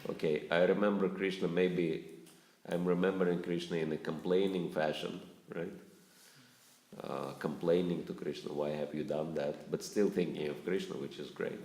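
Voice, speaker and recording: Man, spiritual teacher, low voice, unhurried, chuckles often, roomy echo. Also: fairly even intonation, a little mellow. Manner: asks the audience questions, chuckles often. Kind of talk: talk to a live audience. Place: lecture room with audience.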